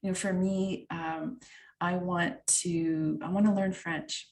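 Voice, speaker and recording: A woman's voice: fairly low pitch, level tone, slow, darkish tone. A middle-aged Canadian woman leading an online workshop over a video call, talking in a chatty way with many fillers and asides. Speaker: workshop facilitator.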